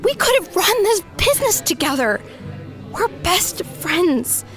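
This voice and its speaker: A woman's voice: extremely high pitch, sing-song, unhurried, fairly bright tone, soft background music, exaggerated voice. An actress playing a young woman's sister in an audio drama, pleading and then cold and cutting. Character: Otto's bitter, desperate sister, young adult.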